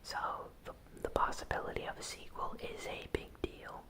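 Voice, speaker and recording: A host whispering a gaming news recap in ASMR style, with soft, unhurried, deliberate phrasing.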